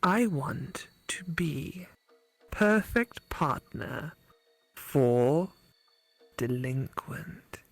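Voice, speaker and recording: Girl doing creature ai companion from a dating game, super slow n halting, kinda whispery, faint music. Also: sits low, animated, vocal fry, exaggerated voice. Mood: halting, eerie. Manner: slow, halting, broken phrases, hushed. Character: Mallow, slow halting female skull-faced phone-app companion creature. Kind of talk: visual novel voice acting.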